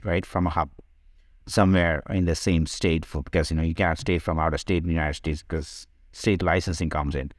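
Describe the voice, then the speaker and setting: Low-pitched Sri man, dry sound. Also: no room sound. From Sri Lanka. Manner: explanatory, often checks with "right?". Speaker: podcast guest, digital health company co-founder. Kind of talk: conversational interview answers.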